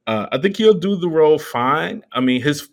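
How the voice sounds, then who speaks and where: A man co-hosting a casual film commentary, talking in a measured, halting way as he thinks aloud. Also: on the high side, animated. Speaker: podcast co-host.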